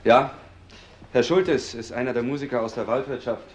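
An actor playing a businesslike police assistant with a brisk, factual manner in a crime radio drama. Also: male voice, medium pitch, background noise, natural voice.

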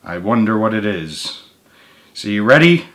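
A young adult man reads a children's book aloud as expressive narration with casual asides, recorded indoors in a living room with the camera a short distance away. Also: on the low side, measured pace, a little hiss or hum.

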